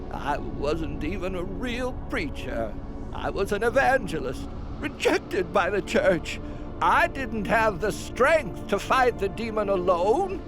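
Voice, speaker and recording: An actor playing a tormented, self-deluded fallen evangelist, narrating a video game story in a theatrical, sermonizing way. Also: male voice, high voice, heavily acted. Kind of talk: dramatic scripted first-person video game narration.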